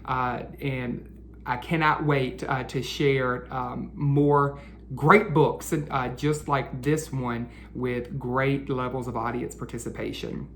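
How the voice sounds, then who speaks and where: Slow-paced man reading aloud to children. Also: mid-range voice, flat delivery, a bit bright, a little hiss or hum. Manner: addresses children directly with questions, drawn-out emphasis. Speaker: read-aloud host for children. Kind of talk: children's picture-book read-aloud and chat.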